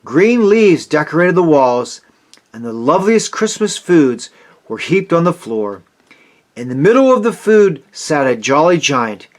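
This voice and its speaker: Male storyteller, higher-pitched voice, highly varied intonation, dramatic read-aloud for children. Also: measured pace. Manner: expressive, dramatic delivery.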